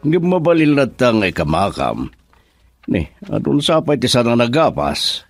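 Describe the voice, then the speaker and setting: A man's voice: medium pitch, very animated, a little slow, no room sound, soft background music. An actor playing an older, encouraging businessman boss in an Ilocano radio drama. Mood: persuasive.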